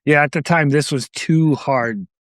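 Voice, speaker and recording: A man's voice: on the high side, a little slow, dry recording, studio quality. A man co-hosting a podcast, chatting casually about music. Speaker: podcast co-host.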